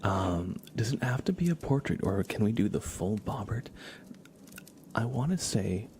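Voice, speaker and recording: A soft-spoken man hosting an ASMR livestream, chatting casually and often dropping into a whisper. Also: on the low side, expressive, measured pace, background noise. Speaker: livestream host.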